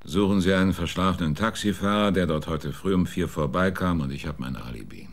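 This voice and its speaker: A laconic, sardonic private detective with a low voice. He dodges questions with dry, wry answers in a radio drama. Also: fairly quick. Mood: evasive. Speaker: private investigator.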